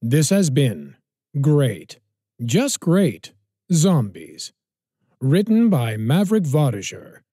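Slow, mellow male dramatic announcer. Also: on the high side, big pitch swings, slightly rough, no room sound, clean studio sound. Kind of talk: dramatic scripted narration, title and credits.

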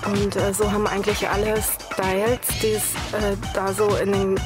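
A woman's voice: medium pitch, level tone, normal speed, loud music behind the voice. A woman casually reminiscing in an interview, telling stories in a chatty, colloquial way. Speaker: club scene witness.

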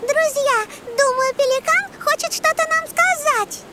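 Tiny, very high-voiced girl fox. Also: unhurried. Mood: sympathetic. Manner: sympathetic, explaining. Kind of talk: dubbed children's cartoon acting.

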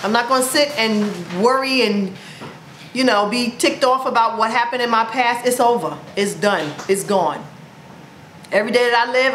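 A woman's voice: mid-range voice, a bit bright, roomy sound, slight background noise. A woman in her forties chatting to camera at home as a vlogger, upbeat and playful, exclaiming often. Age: 40s. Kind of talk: casual chat to camera. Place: indoors at home, plain wall. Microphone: camera in front of her.

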